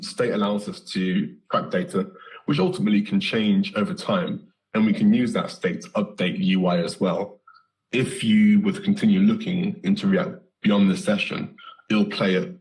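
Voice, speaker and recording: Hoarse, deep-voiced British man teaching code. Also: poor audio. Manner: relaxed, lots of ums and self-corrections. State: hoarse and nasal after flu. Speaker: coding instructor. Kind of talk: live coding tutorial.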